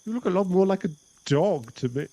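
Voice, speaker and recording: A man's voice: high-pitched, lively intonation, fairly quick, dark tone, dry recording. A man voicing a possessive, condescending vampire in an ASMR boyfriend roleplay, mocking one moment and sweet-talking the next. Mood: haughty, mocking.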